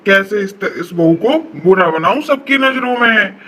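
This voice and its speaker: A man's voice: high-pitched, lively intonation, unhurried, bright, forceful tone, a little hiss or hum, full character voice. A man voicing an aggrieved, scheming elderly mother-in-law who complains like a martyr in a cartoon family melodrama. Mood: scheming, grumbling. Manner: complaining, self-pitying, martyred.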